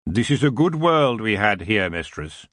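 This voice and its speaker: A man voicing the antlered king of the elves, smooth and languid, in an audiobook reading. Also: fairly low pitch, big pitch swings, unhurried, fairly bright tone, dry recording, clean studio sound, full character voice. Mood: lazy. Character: ancient stinking antlered male elf king, lazy and menacing.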